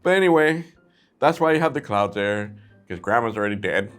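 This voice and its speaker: Male review host, lowish voice, informal and playful, chats directly to the audience. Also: animated, brisk, fairly bright tone, smooth, faint music behind. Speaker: host of a brick-set review channel. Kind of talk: casual chatty product review.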